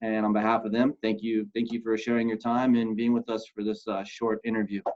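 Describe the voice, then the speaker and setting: A man's voice: on the low side, flat delivery, brisk, darkish tone, highs cut off. A man hosting an interview for a university class, asking friendly, informal questions that often start and stop.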